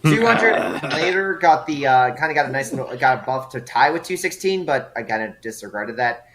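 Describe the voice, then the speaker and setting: A man's voice: mid-range voice, lively intonation, fairly quick, crowd noise. A man hosting a casual online group chat, reading out award results with many fillers and restarts.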